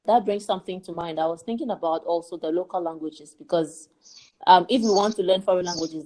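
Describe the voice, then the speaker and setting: Woman, podcast host, curious, friendly, mellow, lowish pitch. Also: poor audio. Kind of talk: casual interview questions.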